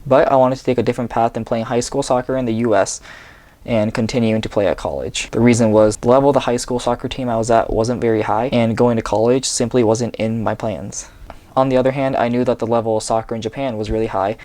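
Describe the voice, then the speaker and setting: Young guy from seattle reading his vlog script, steady and earnest, normal pitch, small room. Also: fast talker. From Seattle, USA. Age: young adult. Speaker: vlogger. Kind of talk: reading a personal story from a script. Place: small bedroom indoors.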